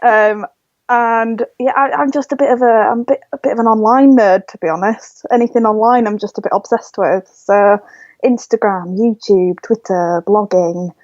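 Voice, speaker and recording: A woman's voice: medium pitch, fairly even intonation, moderate pace, a little mellow, no room sound, dull audio. A woman in her twenties from Leeds with a Yorkshire accent, talking enthusiastically as a podcast guest, full of ums and likes. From Leeds, England. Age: 20s. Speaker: guest, blogger. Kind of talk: casual interview chat.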